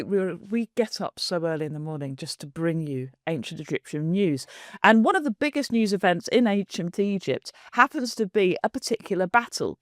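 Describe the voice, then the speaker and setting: A woman co-hosting a relaxed podcast, chatty and quick to joke. Her voice sits at an everyday middle pitch. The recording is dry and close, with no room sound. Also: no echo. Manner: chatty and jokey, laughs easily. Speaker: podcast co-host. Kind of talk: casual podcast chat.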